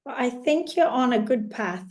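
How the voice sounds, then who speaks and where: A woman's voice: on the high side, expressive, moderate pace, a little mellow. A woman hosting a podcast interview in a casual chat style, her voice still worn from a recent illness, friendly and rambling with restarts. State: recovering voice after illness. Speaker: podcast host.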